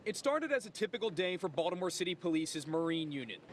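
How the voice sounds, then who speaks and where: A male field reporter delivers a live TV news report outdoors. His voice is high and swings in a lively way, over loud urban traffic noise. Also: fast talker. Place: outdoors in a city.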